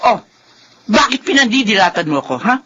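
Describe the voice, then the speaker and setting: A bitter older mother-in-law who scolds and accuses, her voice bright and cutting with a husky edge. Her pitch swings widely as she lectures. It comes through a dull, lo-fi radio broadcast. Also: expressive, fairly quick. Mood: scolding. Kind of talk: radio drama acting.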